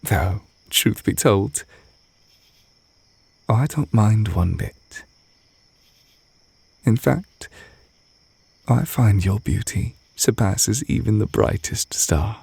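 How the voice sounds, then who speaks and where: A man acting a gentle, adoring witch boyfriend in an intimate ASMR roleplay, soft-spoken and tender with a light teasing manner. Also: on the low side, very slow, gravelly, long pauses, husky, dry recording, full character voice. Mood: wistful, adoring.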